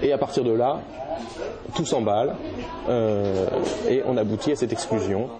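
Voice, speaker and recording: A man's voice: medium pitch, normal speed, music in the background. A man giving political commentary in a documentary interview, hesitant with many fillers and restarts.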